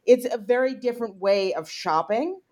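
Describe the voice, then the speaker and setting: A woman's voice: on the high side, animated, normal speed, a little mellow. A woman interviewing an author, asking curious questions in a chatty way that is full of "you know" fillers. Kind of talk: interview conversation.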